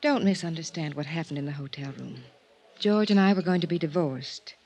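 An actress playing a cool, candid, imperious widow in a 1950s radio drama, composed and direct. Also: female voice, sits low, brisk, darkish tone, slight background noise, lightly acted. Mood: haughty, direct. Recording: 1950s radio recording with music.

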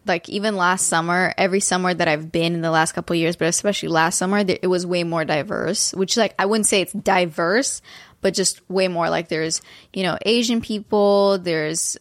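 A woman's voice: fairly low pitch, normal speed, no echo, clean studio sound. A young woman from Romania co-hosting a podcast in casual chat, talking with lots of fillers. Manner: chatty, many fillers like "like" and "um". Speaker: podcast co-host.

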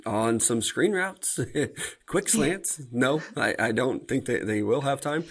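Gravelly-voiced man talking football. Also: mid-range voice, takes it slowly. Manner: relaxed, hedging with fillers. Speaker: podcast co-host. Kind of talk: casual sports chat.

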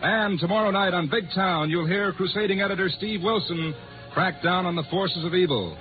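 An American male announcer with a high-pitched voice delivers scripted 1950s radio copy. The broadcast is muffled, with music playing clearly behind him. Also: moderate pace.

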